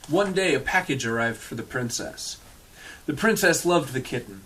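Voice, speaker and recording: A man's voice: mid-range voice, lively intonation, normal speed, fairly bright tone, a little hiss or hum, rough recording. A man reading a storybook aloud with casual asides in a dry, irreverent manner, sometimes swearing. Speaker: storyteller reading a picture book aloud to an audience.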